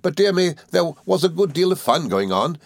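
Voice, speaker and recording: A male narrator puts on a simple, good-natured young gentleman for a storybook. The voice is pitched high, eager and cheerful. He stumbles over little hesitations in a flustered, friendly way. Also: sing-song, normal speed, creaky, no room sound, exaggerated voice. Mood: merry, nostalgic. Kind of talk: audiobook character dialogue.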